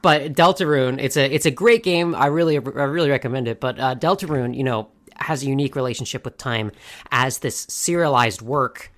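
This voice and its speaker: Co-host of a fan podcast, middle pitch, articulate, guides the conversation along. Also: a little fast. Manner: articulate, steering the topic. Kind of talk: casual chat.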